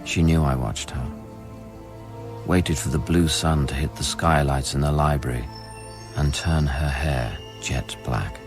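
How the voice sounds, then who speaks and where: A man acting a haunted scientist in a radio drama, recounting life on an alien world in measured, introspective, literary phrases. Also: very deep voice, fairly even intonation. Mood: brooding, awed. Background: music.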